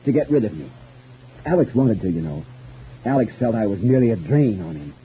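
Agitated, suspicious man, swinging pitch, old radio. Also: very animated, fairly quick. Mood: agitated, bitter. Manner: agitated, probing with insistent repeated questions. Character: disturbed, suspicious, bitter man in a family feud. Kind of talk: old radio soap opera acting. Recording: dull, noisy old radio recording.

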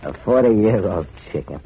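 A man's voice: lowish voice, expressive, unhurried, creaky, slight background noise. An actor playing a scheming, contemptuous male gang boss who bullies his henchman, in an old radio drama. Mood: scornful. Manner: contemptuous, bullying. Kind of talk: 1940s radio acting. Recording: dull lo-fi old radio recording.

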